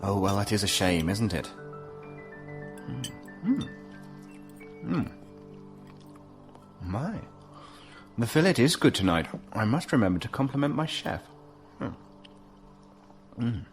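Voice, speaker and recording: An actor playing a smug, unflappable captive inventor in a science fiction audio drama, speaking in a measured, suavely condescending drawl. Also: male voice, lowish voice, big pitch swings, soft background music, full character voice. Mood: mock-polite, smug. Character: smug, unflappable male genius inventor held prisoner.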